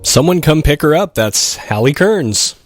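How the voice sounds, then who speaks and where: A man's voice: on the high side, expressive, a little slow, no echo, clean studio sound. A man hosting a music radio show, talking casually and rambling between songs with a self-deprecating, chatty manner.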